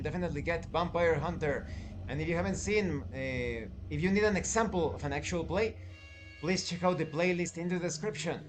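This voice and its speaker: Male game reviewer, slightly high voice, deliberate emphatic phrasing, light music bed underneath. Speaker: tabletop game reviewer and channel host. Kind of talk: review commentary to viewers.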